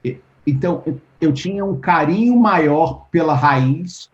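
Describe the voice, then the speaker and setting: A man's voice: a little high, animated, measured pace. A Brazilian man talking as a writer in a casual interview. He thinks aloud, restarts often, and acts out the things people said to him. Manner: thoughtful, restarts often, acts out quoted exchanges. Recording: dull lo-fi call audio.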